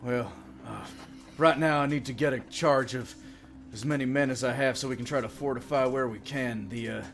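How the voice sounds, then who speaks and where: A man voicing gruff, agitated orc villagers in a tabletop game, speaking bluntly with halting, swearing urgency. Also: mid-range voice, faint music behind, close to an everyday voice. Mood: gruff, urgent. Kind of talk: in-character tabletop game voices.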